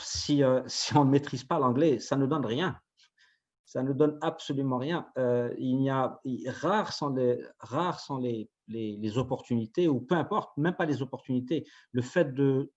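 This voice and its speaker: Guy on a radio panel, mid pitch, stops and restarts a lot, kinda dull muffled sound. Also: a little mellow. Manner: hesitant, many restarts and fillers. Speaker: panel guest. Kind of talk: radio forum discussion.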